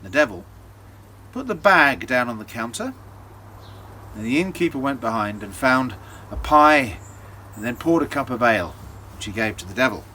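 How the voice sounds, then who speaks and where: A man telling a folktale as a storyteller, in a theatrical, deliberate way with dramatic pauses. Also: mid-range voice, expressive, bright, forceful tone, slight background noise.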